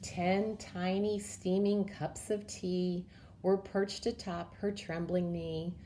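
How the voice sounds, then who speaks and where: A woman presenting story time for young children, reading a picture book aloud in a measured, expressive way. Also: lowish voice, a little hiss or hum.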